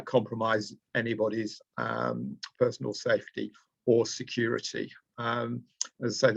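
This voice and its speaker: A man's voice: medium pitch, creaky, fairly bright tone, slightly rough, no room sound. A middle-aged man with a Lancashire accent presents a live online training session, speaking hesitantly with frequent ums and uhs. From Preston, Lancashire, England. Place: indoors, small room. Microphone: webcam on a video call. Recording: dull lo-fi video call audio.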